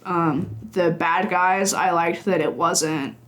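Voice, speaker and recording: A young woman talking as a games journalist guest in a casual podcast interview, chatty and animated, laughing often and acting out her own thoughts. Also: lowish voice, takes it slowly.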